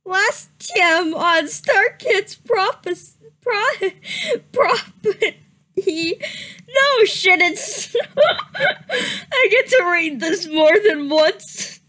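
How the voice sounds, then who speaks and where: A woman's voice: very high-pitched, big pitch swings, drawn-out words, pushed and bright. A woman doing a comedic dramatic reading of a story, giggly and prone to stumbling over the words. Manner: giggly, often breaking into helpless laughter; stumbles over misspelled words read literally. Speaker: reader of a fan fiction story.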